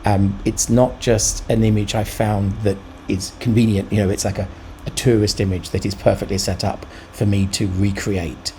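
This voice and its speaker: Low, gravelly, thoughtful man. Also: little pitch movement, mellow, a little hiss or hum. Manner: thoughtful, halting, enthusiastic. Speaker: urban sketching vlogger and artist. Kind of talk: casual reflective commentary on drawing.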